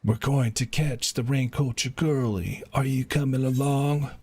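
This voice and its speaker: A man's voice: medium pitch, fairly even intonation, unhurried, a little mellow. A man live-streaming a game, casually commenting and reading quest dialogue aloud, with some noise in the recording. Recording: clearly noisy home stream audio.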